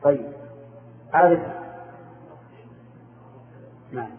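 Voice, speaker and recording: A man's voice: on the high side, drawn-out words. A man teaching Arabic grammar, quizzing his students and confirming their answers with short replies. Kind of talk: classroom questioning of students. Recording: echoey room over a tinny phone-like line.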